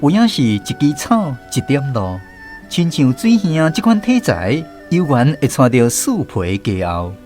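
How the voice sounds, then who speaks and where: Male radio narrator and host, lively storyteller, light music bed. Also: medium pitch, measured pace.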